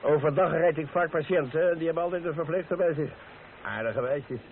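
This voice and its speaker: An actor playing a chatty, grumbling but sympathetic taxi driver in a radio play. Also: male voice, fairly high pitch, brisk, close to an everyday voice. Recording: tinny, very noisy old radio recording.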